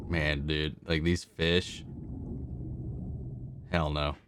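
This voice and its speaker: Man, low voice, very slow laid-back pacing, casual slangy reaction commentary, short remarks. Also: little pitch movement, noisy background. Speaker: reaction video host.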